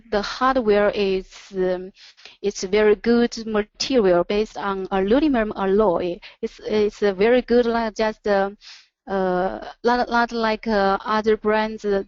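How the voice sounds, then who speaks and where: A woman who speaks English as a second language presents a product in a webinar, haltingly, pausing between short phrases. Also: medium pitch, fairly even intonation, a little mellow, clean tone, no echo. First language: not English. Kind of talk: product presentation over slides. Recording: dull, lo-fi online audio.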